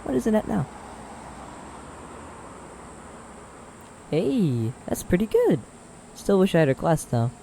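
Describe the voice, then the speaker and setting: Man acting a mellow, joking college guy. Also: high-pitched, sing-song, normal speed, vocal fry, slight background noise, full character voice. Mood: casual, upbeat. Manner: laid-back, joking. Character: easygoing, joking, hungry young male college student. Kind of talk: audio roleplay acting.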